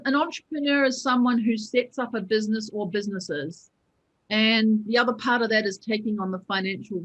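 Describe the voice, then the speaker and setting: A woman's voice: mid-range voice, fairly bright tone, muffled recording. A woman entrepreneur answering interview questions on a video call in a reflective way, restarting her sentences as she thinks. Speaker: entrepreneur, former lawyer.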